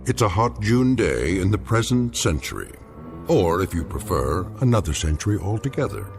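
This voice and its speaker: Deep-voiced ominous narrator, measured storytelling, swooping pitch. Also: male voice, very animated. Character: grave man narrating an eerie anthology. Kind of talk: dramatic anthology narration. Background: music.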